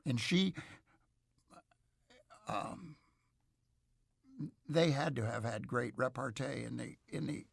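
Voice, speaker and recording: A middle-aged or older man speaking as an expert interview guest, reflective and measured, with halting, scholarly phrasing. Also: medium pitch, gravelly, breathy edge.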